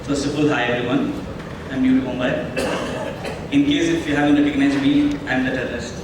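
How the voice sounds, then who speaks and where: A man's voice: mid-range voice, level tone, brisk, recorded from a distance. An actor telling the press about his role, friendly and light. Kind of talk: press conference answers. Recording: echoey hall, mic from afar, noisy.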